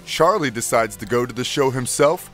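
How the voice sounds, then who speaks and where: Guy doing scripted cartoon recap narration, normal pitch, bit of music behind. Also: expressive, normal speed, fairly bright tone.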